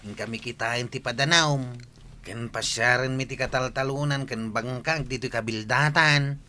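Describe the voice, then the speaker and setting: A man's voice: medium pitch, fairly even intonation, moderate pace, pushed and bright, lightly acted. A man with a Filipino accent playing a practical, matter-of-fact village chief in an audio drama. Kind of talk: radio drama acting.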